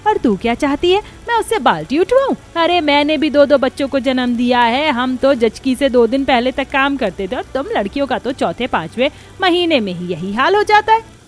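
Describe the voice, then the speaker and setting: A woman's voice: high voice, expressive, normal speed. A woman voicing a greedy, boastful, bossy older mother-in-law in a Hindi cartoon story, with a scolding, emphatic manner.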